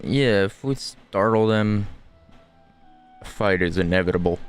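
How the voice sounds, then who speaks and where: A man's voice: fairly low pitch, unhurried, clear, resonant tone, soft background music. A man giving casual gameplay commentary as a streamer, with a laid-back, dry way of talking. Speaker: gaming streamer reading and reacting to a game.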